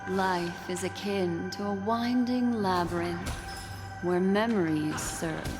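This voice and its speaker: A woman's voice: on the low side, slow, music in the background. A woman playing a composed, reflective philosopher in a dramatic game scene. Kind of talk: scripted dramatic game dialogue.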